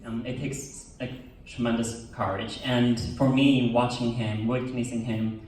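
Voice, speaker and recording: A man whose first language is Chinese speaks earnestly as a documentarian on a premiere panel, often halting and restarting his words. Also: medium pitch, flat delivery, darkish tone, slight background noise. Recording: echoey hall, from far off.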